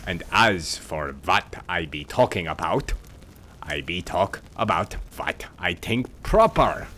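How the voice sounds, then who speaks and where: A man's voice: low voice, very animated, slow pace, sharp tone, slight background noise, pitched below the performer's own voice, exaggerated voice. A man voicing a quarrelsome male spirit with a heavy German accent, speaking broken, bossy English in a storybook reading. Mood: insolent. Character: gruff insolent male spirit, squat and quarrelsome. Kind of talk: audiobook character voice.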